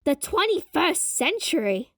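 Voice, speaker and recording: A woman's voice: very high voice, big pitch swings, measured pace, fairly bright tone, dry recording, studio quality, pitched above the performer's own voice, exaggerated voice. A woman voicing a proper, wordy man of science from 1900 in an audio drama. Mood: incredulous. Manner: formal, wordy, hesitant.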